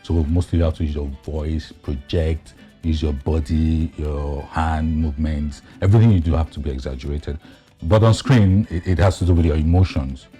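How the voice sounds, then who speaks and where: A man explaining things haltingly and deliberately as an interview guest. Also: very deep voice, mellow, soft background music. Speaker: guest actor.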